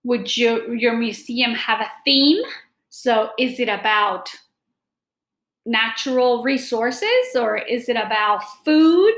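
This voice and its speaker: A middle-aged woman reading aloud to students as a teacher, explaining deliberately and addressing them directly in a room at home. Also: on the high side, animated, fairly bright tone, echoey room. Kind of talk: read-aloud lesson with simple explanations. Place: small room at home, indoors. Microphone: laptop or webcam microphone at desk distance.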